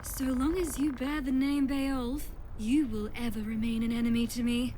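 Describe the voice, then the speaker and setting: A woman's voice: on the high side, level tone, moderate pace, mellow, slight background noise, lightly acted. A woman playing a proud, embittered young rebel in a fantasy fan dub, with impassioned, archaic oratorical delivery. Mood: cold, scornful.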